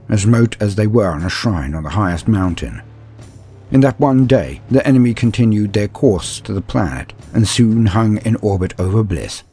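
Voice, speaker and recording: A man with a deep voice reads a scripted dramatic story. His delivery is grave, measured and theatrical. Soft music plays faintly behind him. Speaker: narrator.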